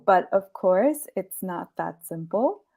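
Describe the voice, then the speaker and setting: Young woman, lower voice. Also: takes it slowly, vocal fry. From Peru, grew up in the US. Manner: friendly, laughs between phrases, relaxed. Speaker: guest. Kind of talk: casual interview chat.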